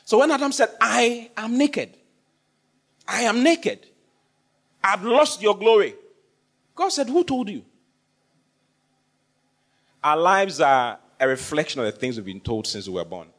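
Preacher with a lively, rising voice. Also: male voice, sits high, measured pace, long pauses. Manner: call-and-response with congregation, repeats phrases for emphasis. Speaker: pastor. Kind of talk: sermon.

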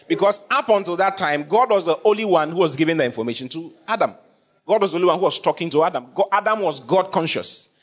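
A male pastor delivers a sermon, his voice sitting a little high and swinging widely in pitch. He drives points home by repeating lines and asking the congregation questions. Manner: call-and-response with congregation, repeats phrases for emphasis.

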